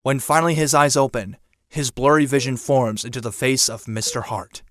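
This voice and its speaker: A man's voice: mid-range voice, fairly even intonation, moderate pace, fairly bright tone, no echo, studio quality. A man reading dramatic scripted narration for an audio drama.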